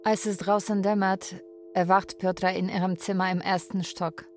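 An actress playing a lonely, restless Polish caregiver in a radio drama, narrating in a plain-spoken way. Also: female voice, medium pitch, little pitch movement, moderate pace, darkish tone, soft background music, natural voice. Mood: wistful, waiting.

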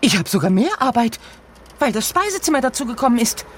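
An actress playing a haughty housekeeper turned scholar's wife, indignant and self-important, in a radio sketch. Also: female voice, on the high side, sing-song, quick pace. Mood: indignant complaining. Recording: car and traffic noise behind. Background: vehicle ride ambience.

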